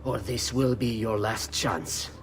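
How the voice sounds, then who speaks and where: A man's voice: on the low side, steady tone, takes it slowly, slight background noise. An actor playing an oily, wheedling nobleman conspirator in a video game. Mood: insistent, veiled threat. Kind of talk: dramatic video game acting.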